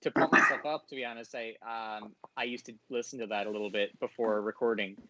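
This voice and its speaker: A man's voice: lowish voice, steady tone, brisk, pushed and bright, lo-fi sound. A man chatting casually as a podcast guest, hesitant and often searching for his words. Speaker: audio drama voice actor, podcast guest. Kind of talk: casual interview talk.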